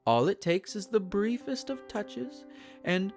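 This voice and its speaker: A possessive vampire lord , acted by a man, speaks intimately to the listener in a roleplay drama. He is formal and unhurried, doting with a condescending, menacing edge. His slightly high male voice rises and falls widely over soft music. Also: big pitch swings, heavily acted. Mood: smug. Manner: formal, measured, soothing and condescending.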